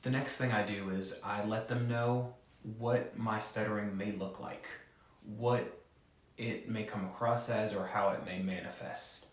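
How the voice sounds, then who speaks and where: A man's voice: on the low side, steady tone, unhurried, very echoey. A man who stutters gives an earnest, direct instructional talk as a presenter for an advocacy group.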